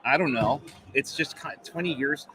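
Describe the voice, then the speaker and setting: A man's voice: on the high side, normal speed, background noise. A man in his forties, German-born and living in America, with a slight German accent under mostly American speech, chatting casually as a live-stream host with many fillers. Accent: German, mostly American-sounding.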